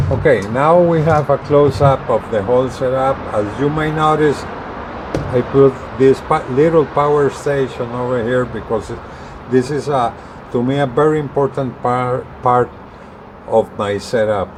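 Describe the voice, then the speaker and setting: A man giving a casual how-to explanation to camera, speaking in halting, deliberate phrases with pauses mid-sentence. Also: medium pitch, background noise. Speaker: vlogger, hobbyist radio user and truck driver.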